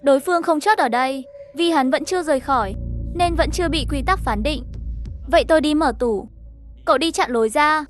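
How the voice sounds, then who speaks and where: A woman with a clearly high-pitched voice narrates an animated horror tale. She reads scripted lines and the characters' dialogue herself. Music plays behind her voice. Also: a little fast. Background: background music.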